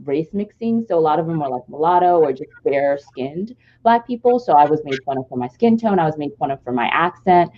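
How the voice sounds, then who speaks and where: A woman's voice: sits low, lively intonation, brisk, hardly any pauses, muffled recording, a little hiss or hum. A Nigerian-born woman with no trace of an accent, talking earnestly and reflectively in a long, flowing personal story on a podcast. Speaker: podcast co-host.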